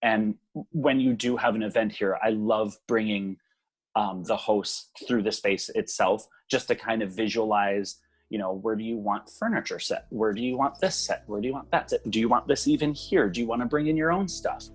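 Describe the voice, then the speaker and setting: A man's voice: on the low side, normal speed, husky. A young man from Hawaii, a small business owner, chatting casually in an interview with lots of ums and easy laughter. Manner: relaxed, many ums, laughs easily. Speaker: venue owner, guest.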